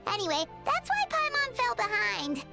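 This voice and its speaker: A woman playing a tiny, cute, excitable childlike fairy companion in an anime game, with music behind her. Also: extremely high pitch, normal speed, creaky, full character voice. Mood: chirpy, excited. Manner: speaks of self in third person, exclaiming.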